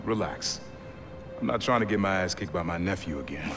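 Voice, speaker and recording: Low-voiced streetwise uncle, music behind. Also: male voice, expressive, brisk. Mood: wry. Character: gruff streetwise uncle, reformed ex-criminal, protective. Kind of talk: video game cutscene acting. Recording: game audio with music behind.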